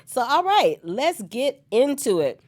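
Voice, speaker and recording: A woman hosting an online soap opera recap on her own, slightly hoarse from a cold, animated and chatty and laughing often. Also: high-pitched, measured pace. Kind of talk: solo casual recap chat.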